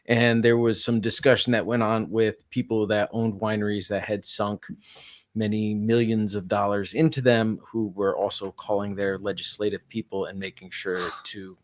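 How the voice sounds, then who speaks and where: A man's voice: on the low side, flat delivery, normal speed. A man in his forties from Connecticut, an interview guest explaining things casually in a plainspoken, matter-of-fact way. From Norwich, Connecticut, United States. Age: 40s. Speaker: interview guest, cidery owner.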